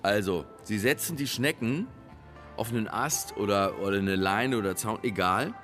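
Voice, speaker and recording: An actor in a radio play playing a crude, jovial politician who rambles and boasts. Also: male voice, fairly low pitch, moderate pace, fairly bright tone, soft background music, lightly acted. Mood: boastful, rambling. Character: crude, chatty male politician boasting of boyhood games. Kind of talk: naturalistic radio drama acting.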